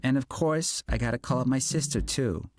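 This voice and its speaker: Man putting on a high, mincing, haughty woman. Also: normal speed, background noise, close to an everyday voice. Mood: eager, bossy. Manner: mincing, affected, gossipy. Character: brash, affected, haughty woman putting on airs.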